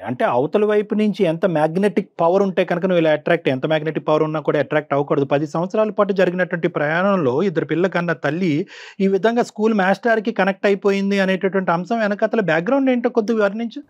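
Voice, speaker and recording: Male counsellor for talk show, blunt and quick, probing questions, slightly muffled lo-fi sound. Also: high voice.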